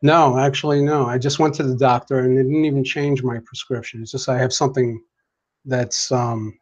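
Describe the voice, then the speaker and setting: A man's voice: mid-range voice, steady tone, moderate pace. A man chatting casually as a game player, asking playful, probing questions. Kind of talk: casual chat. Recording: dull lo-fi sound.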